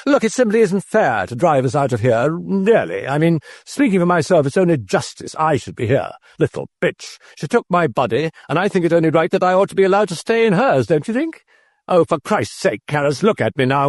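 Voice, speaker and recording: A man's voice: on the high side, very animated, moderate pace, no echo, studio quality. Man playing a foul-mouthed dead man. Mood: indignant. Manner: swearing, aggrieved, chatty.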